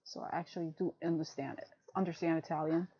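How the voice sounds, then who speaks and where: A woman's voice: sits low, fairly even intonation, quick pace, breathy edge, dry recording. Woman, chatty podcast co-host, rambling, laughs a lot, dry muffled mic. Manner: chatty, rambling, laughs often, says "freaking". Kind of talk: casual livestream chat. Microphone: desk mic on a livestream. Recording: muffled lo-fi stream audio.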